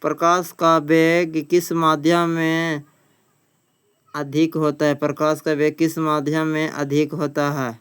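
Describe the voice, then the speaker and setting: Flat-toned man teaching, clear smooth voice. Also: on the high side, slow. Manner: plain-spoken, repeats phrases as he reads. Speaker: teacher, exam coaching. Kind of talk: reading out practice questions and answers as a lesson.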